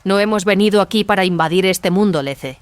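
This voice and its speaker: A woman playing a stern, commanding warrior sister in an audio drama, speaking with firm, measured authority. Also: medium pitch, clear, resonant tone, no room sound, close to an everyday voice. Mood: resolute. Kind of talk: dramatized audio fiction acting. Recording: music behind the voice.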